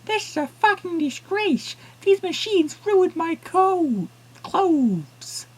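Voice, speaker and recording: A man voicing a furious, foul-mouthed woman who yells in a shrill, high voice. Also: very animated, takes it slowly, full character voice. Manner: shrill, yelling.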